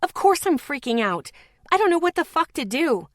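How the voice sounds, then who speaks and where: A woman voicing a panicked young woman in tears, loud and urgent. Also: on the high side, normal speed, no echo, studio quality, voice pushed up, close to an everyday voice. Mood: frantic. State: crying.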